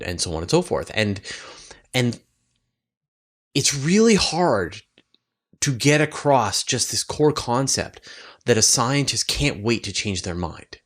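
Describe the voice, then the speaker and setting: A man's voice: on the low side, a bit bright. A man hosting an interview, talking through ideas in a conversational way. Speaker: interviewer and show host.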